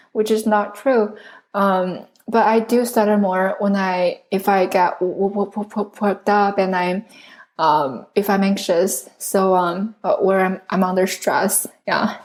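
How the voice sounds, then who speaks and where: A young woman, a guest in an interview, who stutters with repeated sounds and speaks in a soft, thoughtful, hedging way. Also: medium pitch. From China. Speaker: guest, speech pathology researcher. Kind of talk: conversational interview.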